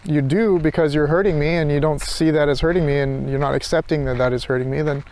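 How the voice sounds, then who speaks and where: Hesitant, reflective man with higher-pitched voice. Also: steady tone, quick pace, darkish tone, low-quality recording. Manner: hesitant, searching, circling back over phrases. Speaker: philosophy vlogger. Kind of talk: unscripted reflective monologue.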